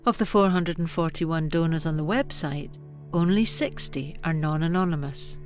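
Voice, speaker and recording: A woman's voice: sits low, normal speed. A woman reading scripted narration as a documentary narrator. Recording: music under the voice. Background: music.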